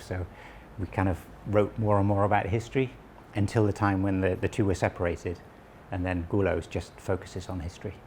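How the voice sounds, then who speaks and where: Easygoing low-voiced man from Wales, around 60. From southwest Wales. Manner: easygoing, explains at length with small restarts. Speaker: history website founder, interview guest. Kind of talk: relaxed conversational explanation.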